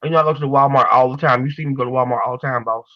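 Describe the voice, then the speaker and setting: A man's voice: medium pitch, fairly even intonation, rapid-fire, lo-fi sound. A man in his forties co-hosting in casual, slangy talk, rambling along and repeating his phrases. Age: 40s. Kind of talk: casual chat.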